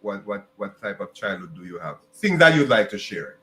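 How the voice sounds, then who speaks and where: A man's voice: lowish voice, very animated, normal speed, a bit bright, reverberant, a little hiss or hum. A man from Jamaica, hosting a podcast interview and asking long, rambling questions with many restarts and repeated words. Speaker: podcast host.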